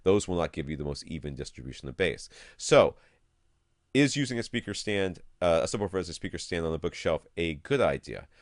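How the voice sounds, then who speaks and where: A man with a deep voice talks through technical questions as a podcast co-host. His delivery swings freely up and down, and he is blunt, emphatic and fond of a joke. The sound is close and dry. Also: lively intonation, a little fast, dry recording. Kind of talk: casual technical chat, answering listener questions.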